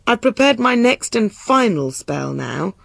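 A reader voicing a calm, deferential storybook enchanter, a man who is polite, measured and placating. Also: medium pitch, creaky, dry recording, pitched above the performer's own voice, heavily acted. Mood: reassuring. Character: calm, deferential male enchanter, placating but sure of himself. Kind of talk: storybook character voice.

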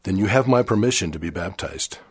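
Older man from Chile preaching a sermon; low, slightly husky voice, measured and earnest. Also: expressive, no echo, clean studio sound. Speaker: church speaker. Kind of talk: formal sermon.

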